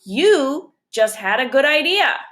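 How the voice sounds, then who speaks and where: A bossy little know-it-all girl from a storybook, read by a woman. Her high, bright voice is prim and matter-of-fact, swinging about as she corrects people. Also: very animated, slow pace, exaggerated voice.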